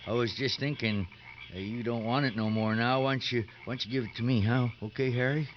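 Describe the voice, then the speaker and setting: Man playing a simple fat prisoner, wheedling, hesitant, middling pitch, muffled vintage radio sound. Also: fairly even intonation, fairly quick, close to an everyday voice. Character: fat, needling, eager-to-please simpleton convict. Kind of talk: 1950s radio drama acting. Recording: muffled, hissy 1950s radio recording.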